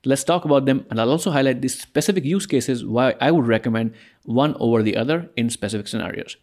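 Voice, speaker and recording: Man explaining software in a tutorial. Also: medium pitch, quick pace. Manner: explanatory, conversational, occasional self-corrections. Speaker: tutorial presenter. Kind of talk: screen-recorded software tutorial voice-over.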